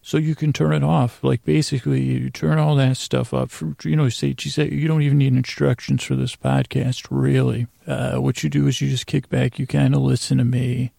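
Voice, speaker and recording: A man hosting a bedtime podcast in a meandering monologue, mumbling and stumbling with long pauses. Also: medium pitch, flat delivery, creaky, mellow, no room sound, clean studio sound. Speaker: podcast host.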